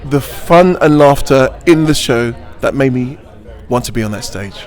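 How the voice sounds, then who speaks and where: A man's voice: medium pitch, expressive, normal speed, some chatter behind. A middle-aged man, a pantomime actor, giving playful answers in an interview, joking and laughing often in a theatrical way.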